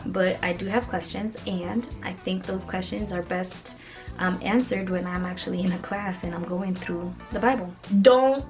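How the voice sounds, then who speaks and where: A 29-year-old woman from Kenya chatting casually as a vlogger, in a playful, emphatic and animated conversational way. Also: on the low side, normal speed, music in the background.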